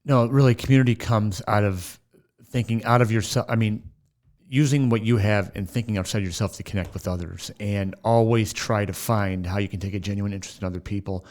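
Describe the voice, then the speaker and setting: A man with a loud voice, earnestly giving practical advice as a podcast guest. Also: fairly low pitch, fairly even intonation, fairly quick. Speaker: chamber of commerce president, guest. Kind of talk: casual podcast conversation.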